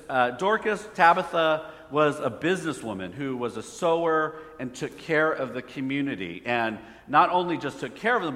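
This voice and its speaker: A man's voice: medium pitch, bright, forceful tone. A man preaching a conversational sermon, asking the audience questions. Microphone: phone hotspot for slides. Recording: echoey hall reverb.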